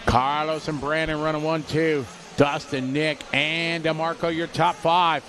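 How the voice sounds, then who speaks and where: A man calls a radio-controlled car race live from trackside, his voice sitting a little high. He rattles off positions and gaps and greets viewers in between. Engine noise and the sounds of an outdoor track run behind him. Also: level tone, a bit bright. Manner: rapid play-by-play, reads viewer chat aloud. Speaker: race commentator.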